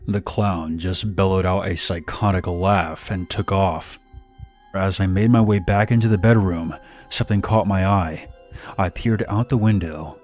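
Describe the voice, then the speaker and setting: A man's voice: deep voice, steady tone, a little slow. A man reading a scripted first-person horror story as narration. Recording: music bed behind narration. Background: background music.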